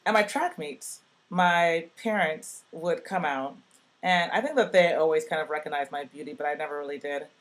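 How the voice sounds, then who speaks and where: A woman with a deep voice casually telling a personal story in a recorded message to a youth project, in a relaxed, chatty way full of fillers. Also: normal speed. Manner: chatty, relaxed, many "like" and "you know" fillers.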